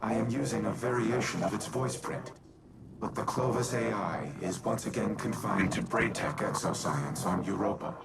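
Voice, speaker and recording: A man voicing a stately artificial intelligence in a robot body for a video game, speaking in a formal, measured, solemn way. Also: on the low side, creaky, heavy background noise. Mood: detached, formal. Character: Rasputin, stately male war-machine AI in a robot body. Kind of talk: scripted video game dialogue. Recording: loud music behind the voice.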